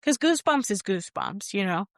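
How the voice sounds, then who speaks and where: A woman's voice: medium pitch, expressive, brisk, dry recording, studio quality. A woman co-hosting a podcast, chatting casually with a rambling, hesitant way of talking and frequent laughs. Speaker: podcast co-host. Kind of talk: casual chat.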